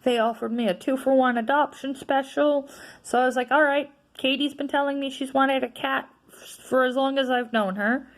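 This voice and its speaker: A woman vlogger with a naturally loud voice, venting in a casual, frustrated rant that is full of tag questions. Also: high voice, fairly even intonation, moderate pace. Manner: frustrated, ranting, frequent "right?" tags.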